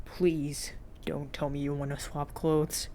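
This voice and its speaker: A grumpy teenage boy in a read-aloud story, acted by a woman. He is easily outraged and complains in exasperation, stretching his words out slowly. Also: deep voice, flat delivery, slight background noise, pitched below the performer's own voice. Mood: resigned. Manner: loud, exasperated, dragged-out complaining.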